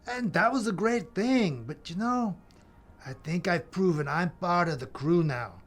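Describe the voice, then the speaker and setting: An actor playing Death as a brash, scheming horseman who talks in slangy, swaggering sales pitches in an audio comedy. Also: measured pace, a bit bright, exaggerated voice. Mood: smooth persuading. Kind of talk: audio comedy drama acting.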